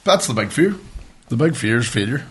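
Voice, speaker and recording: Blunt, slightly husky Northern Irish man. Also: mid-range voice, big pitch swings, a little fast. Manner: blunt, sweary, emphatic. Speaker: podcast host. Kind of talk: casual banter, animated storytelling.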